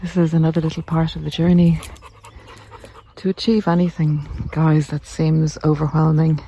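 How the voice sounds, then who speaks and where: A speaker talking gently and reassuringly in a reflective walk-and-talk vlog, with unhurried pauses. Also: medium pitch, level tone, lo-fi sound. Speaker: narcissistic abuse recovery coach. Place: outdoors on a country walk. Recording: outdoor noise, birdsong behind. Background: birdsong, outdoor noise.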